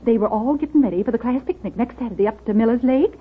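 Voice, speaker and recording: Husky, prim American small-town gossip. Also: female voice, on the high side, fast. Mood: gossipy, excited. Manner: prim, rustic, sanctimonious. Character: pious, touchy, gossipy small-town woman. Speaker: actor in a radio drama. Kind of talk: 1930s radio drama acting. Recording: muffled, scratchy 1930s radio broadcast.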